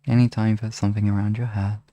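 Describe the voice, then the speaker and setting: A man chatting casually and softly with his listeners as an ASMR streamer, relaxed and unhurried. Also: fairly low pitch, no echo. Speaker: ASMR hypnosis live streamer.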